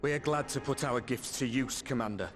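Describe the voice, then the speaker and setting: An actor playing an eager, earnest, plain-spoken young soldier in a video game cutscene. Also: male voice, medium pitch, brisk, natural voice. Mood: determined. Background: prominent music.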